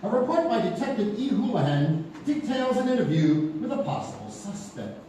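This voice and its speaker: A man's voice: high voice, sing-song, moderate pace, mellow, recorded from a distance, a little hiss or hum. A man playing a storyteller who narrates an old murder mystery on stage, knowing and theatrical, with rhetorical questions. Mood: knowing, suspenseful. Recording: echoey live theatre recording from afar.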